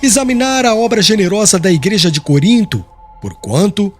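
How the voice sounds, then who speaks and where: A man reading scripted audiobook narration as an expressive, solemn storyteller. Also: sits high, moderate pace. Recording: music behind the voice.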